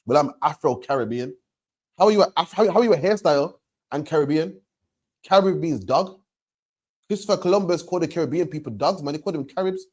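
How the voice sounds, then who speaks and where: A man preaching in an emphatic, repetitive way, hammering each point home. His voice sits a little higher than most men's. He ends his phrases with "man". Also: darkish tone. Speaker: religious teacher. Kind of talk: street preaching to a questioner.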